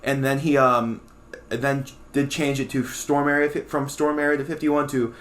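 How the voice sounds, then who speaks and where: A man's voice: mid-range voice, little pitch movement, normal speed, a bit bright, echoey room. A man co-hosting a podcast in casual chat, rambling with many restarts. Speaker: podcast co-host.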